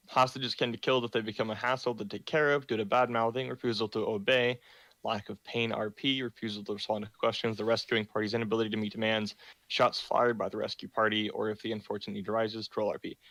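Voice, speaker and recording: Young man, low flat voice, dry close mic, reads server rules over voice chat, lots of ums. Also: fast talker, no echo, rough recording. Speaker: meeting host for a roleplay community. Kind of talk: reading rules aloud in a voice chat meeting.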